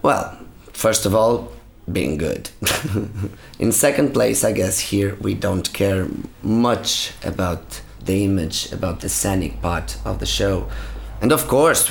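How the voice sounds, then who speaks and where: A Portuguese-accented man, a musician speaking English as a second language in an interview, earnest and opinionated, pausing to find his words. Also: lowish voice, vocal fry, a little hiss or hum. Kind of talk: interview answers.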